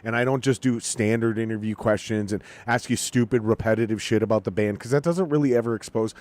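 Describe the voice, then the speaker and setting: A man's voice: lowish voice, quick pace. A man hosting a podcast, talking loosely and profanely, with jokes at his own expense. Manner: profane, animated, self-deprecating jokes. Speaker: podcast host. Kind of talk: casual podcast chat.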